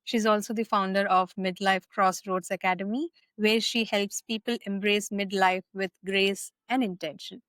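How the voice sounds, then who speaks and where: A woman's voice: mid-range voice, fairly even intonation, normal speed, few pauses, fairly bright tone, no echo. A woman hosting a podcast, welcoming her guest and asking questions in an upbeat, friendly way. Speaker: podcast host.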